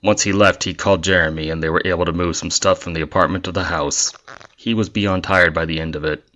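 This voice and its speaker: A man's voice: low-pitched, steady tone, fast talker, a bit bright, lo-fi sound. A man reading scripted story narration for an audio drama.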